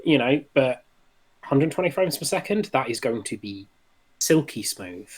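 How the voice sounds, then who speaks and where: A man with a medium-pitched voice takes part in a podcast panel. He speaks informally, in the manner of a casual conversation about games. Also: very animated, measured pace, husky. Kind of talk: casual chat.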